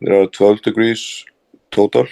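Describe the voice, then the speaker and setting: A man's voice: lowish voice, fairly even intonation, a little slow, creaky, mellow, poor audio. A man from Iceland with an Icelandic accent, giving plain, hesitant answers as an interview guest. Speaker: interview guest, fraternity member.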